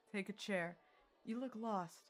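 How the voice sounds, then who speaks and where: A woman's voice: medium pitch, slow. Wry winged adventurer woman, acted, music behind. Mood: wry, teasing. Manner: dry, teasing, nonchalant. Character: winged female Coatla adventurer, wry and unbothered tavern drinker. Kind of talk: audio roleplay drama acting.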